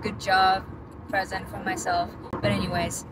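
A young woman from Japan, a native Japanese speaker, chatting casually to her phone camera, with many "like" fillers and quick self-corrections. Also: mid-range voice, level tone, background noise. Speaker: vlogger and pro dancer. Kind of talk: casual vlog chat to camera. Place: bathroom, then parked car.

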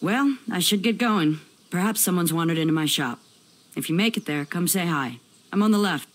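A woman's voice: fairly low pitch, animated, normal speed, slight background noise, lightly acted. A woman playing a brash, money-minded tradeswoman in a video game, talking in a blunt, folksy way. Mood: weary, friendly. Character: gruff, plain-spoken adult tradeswoman, brash and money-minded. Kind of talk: video game character acting.

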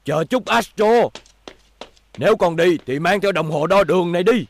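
A man's voice: sits high, normal speed, vocal fry. A man voicing a fussy, fatherly old professor in a cartoon dub, earnest and explaining. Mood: caring. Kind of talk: cartoon dubbing.

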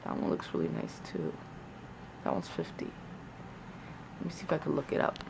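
A woman's voice: very deep voice, sing-song, normal speed, creaky, noisy background. A young woman vlogging casually from her bedroom, muttering to herself and swearing while she works, recorded on a camera held close. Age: young adult. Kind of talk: casual vlog chat. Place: small bedroom indoors by a window.

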